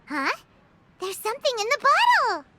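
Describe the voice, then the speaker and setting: A woman voicing an excitable, curious tiny floating girl companion in a game cutscene, squeaky and wide-eyed. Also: normal speed, background noise, full character voice. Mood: surprised.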